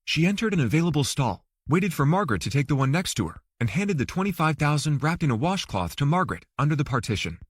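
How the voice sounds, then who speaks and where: A man's voice: on the high side, very animated, quick pace, hardly any pauses, slightly rough, dry recording, studio quality. A man reading a thriller novel aloud as an audiobook narrator. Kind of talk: scripted fiction narration.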